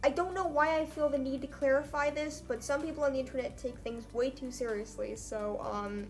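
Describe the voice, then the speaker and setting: A woman's voice: sits high, fairly even intonation, a little fast, a little mellow, soft background music. A young woman chatting casually in a comedic vlog, with wry, self-mocking asides. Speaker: vlogger. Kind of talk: casual comedic vlog chat, reading own old writing.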